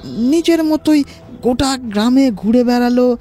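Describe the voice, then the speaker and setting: An actor voicing an awestruck, anxious villager in an audio horror drama, telling of troubles in a halting, dramatic way. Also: mid-range voice, mellow, soft background music, natural voice. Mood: troubled, confiding.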